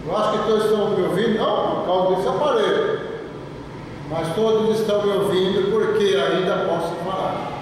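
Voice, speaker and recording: An older man with a Brazilian Portuguese accent preaching a sermon as a pastor, emphatic, repeating words and drawing phrases out slowly for stress. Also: high-pitched. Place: church. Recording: echoey church hall from far off, with noise.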